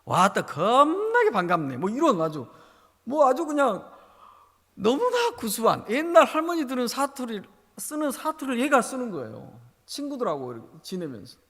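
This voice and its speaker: Lively high-voiced Korean pastor preaching. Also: male voice, moderate pace, big-room sound. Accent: Jeolla Korean. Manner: storytelling, emphatic. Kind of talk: sermon. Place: church sanctuary.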